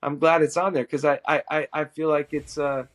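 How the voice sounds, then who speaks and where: Man, middle-aged, guest worship musician, animated storyteller, self-amused, slightly raised pitch. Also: moderate pace. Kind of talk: personal storytelling in conversation.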